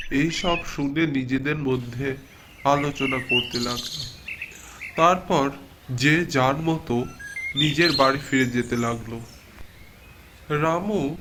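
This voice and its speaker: A man's voice: mid-range voice, noisy background. A man narrating a scripted ghost folktale as a cartoon storyteller.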